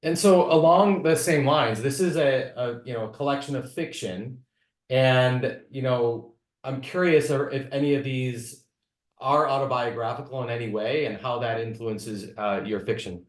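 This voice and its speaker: A man's voice: medium pitch, moderate pace, reverberant. A middle-aged man hosting a literary interview in an earnest, encouraging way.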